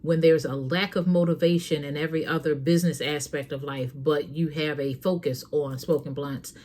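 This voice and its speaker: A woman's voice: sits low, fairly even intonation, normal speed, a little mellow. A woman hosting a talk show, giving earnest, frank parenting advice straight to her listeners in a casual, preachy way. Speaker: host.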